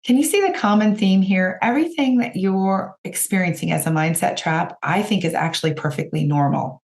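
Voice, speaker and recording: A woman with a raspy voice, hoarse from a cold, hosting a podcast and giving direct, encouraging coaching talk to her listeners. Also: fairly low pitch, moderate pace. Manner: encouraging, direct, addresses listeners as you guys. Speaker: podcast host and business coach.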